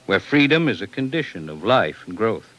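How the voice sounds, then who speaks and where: An actor playing the earnest, calm leader of a secret freedom movement in a 1950s radio drama, speaking in a formal, measured, persuasive way. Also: male voice, lowish voice, poor audio.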